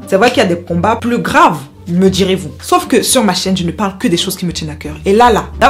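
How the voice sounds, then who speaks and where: A woman from Cameroon with a Cameroonian French accent, speaking straight to her viewers in an impassioned vlog and repeating herself for emphasis. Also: mid-range voice. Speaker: YouTuber.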